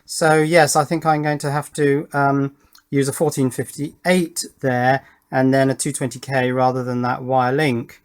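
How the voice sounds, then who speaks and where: A man hosting a hobby project video, explaining technical details casually and thinking aloud in a deliberate, questioning way. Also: a little high. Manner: puzzled, deliberate, self-questioning. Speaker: host of an electronics project channel.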